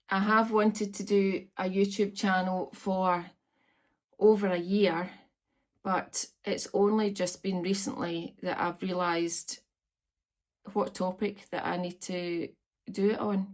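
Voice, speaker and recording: A Scottish woman in her fifties chatting to camera from home, meandering with frequent ums. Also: fairly low pitch. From Scotland. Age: 50s. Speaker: vlogger. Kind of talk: casual chat to camera. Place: at home on a farm, indoors.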